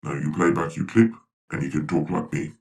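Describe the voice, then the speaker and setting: An extremely deep robot delivers deliberate tutorial instructions. The voice is crackly and processed with a robotic delay effect, sounding set back from the mic over music. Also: male voice, animated, vocal fry, recorded from a distance, heavily acted. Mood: instructive. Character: instructive robot speaking for a video channel. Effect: robotic pitch-lowered voice with flanger and delay.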